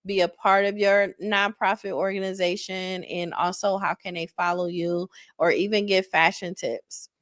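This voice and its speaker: A woman in her forties hosting a podcast, chatting casually and encouraging her guest in quick bursts with repeated starts. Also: fairly low pitch, flat delivery, fairly bright tone, no echo, studio quality. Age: 40s. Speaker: podcast host. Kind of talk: casual interview chat.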